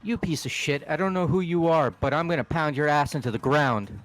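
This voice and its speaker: A man's voice: fairly high pitch, brisk, close to an everyday voice. A man voicing a furious, foul-mouthed young student, confrontational and threatening, in a fan-voiced video game. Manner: aggressive, confrontational. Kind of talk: fan voice acting over a game playthrough.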